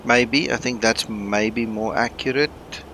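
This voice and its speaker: Slow, bright-voiced man. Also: fairly low pitch, slight background noise. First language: Afrikaans. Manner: relaxed, thinking aloud. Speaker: flight sim streamer. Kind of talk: casual chat with live viewers.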